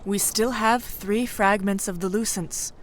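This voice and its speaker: A woman playing a composed, commanding war leader and sister in a fantasy audio drama, steady, formal and reassuring. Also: mid-range voice, unhurried, a little hiss or hum, natural voice.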